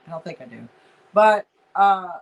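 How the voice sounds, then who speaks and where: A woman's voice: on the low side, expressive, a little slow, gravelly. A woman from Texas with a slight Texas accent, hosting a casual live chat and greeting viewers in a folksy way over a video call. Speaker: livestream host.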